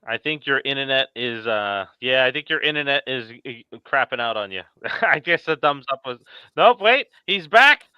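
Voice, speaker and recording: A man's voice: mid-range voice, animated, normal speed, smooth, no room sound. A man hosting a casual live-stream chat, on a slightly dull-sounding mic. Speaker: show host.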